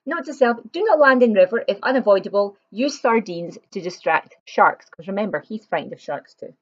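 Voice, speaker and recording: A woman's voice: medium pitch, animated, fairly quick, lo-fi sound. A woman reading a children's picture book aloud, expressively, with chatty explanatory asides to young listeners.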